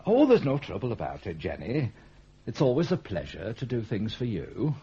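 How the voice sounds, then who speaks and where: An actor playing a cautious, calculating young tradesman in a radio drama, with a Northern English accent and a blunt, halting manner. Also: medium pitch, wildly swooping pitch, warm tone, breathy edge, full character voice. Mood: courting, flustered. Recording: dull, muffled 1980s radio broadcast recording.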